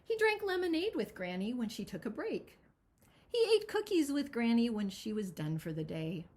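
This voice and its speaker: A woman reading a picture book aloud as its author, with expressive, dramatic storytelling. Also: a little high, moderate pace, slight background noise. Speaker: children's author reading their own book.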